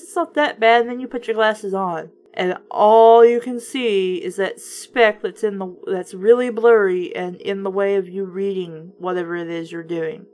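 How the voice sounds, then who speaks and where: A woman reading a book aloud in a casual, expressive way, breaking in with sarcastic, opinionated remarks. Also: mid-range voice. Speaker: host reading children's books aloud with commentary.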